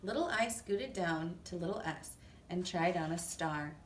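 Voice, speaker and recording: A woman reading a children's story aloud in an expressive, deliberate way. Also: lowish voice. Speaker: storybook reader. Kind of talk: children's read-aloud narration. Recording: echoey room, distant mic, faint noise.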